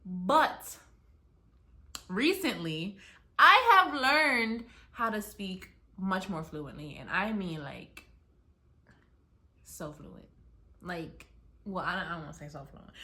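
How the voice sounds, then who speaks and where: A woman's voice: lowish voice, expressive, measured pace, pushed and bright. A young American woman vlogging from her apartment while packing to move, rambling casually with lots of "like" fillers and restarts. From United States. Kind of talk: casual rambling chat to camera.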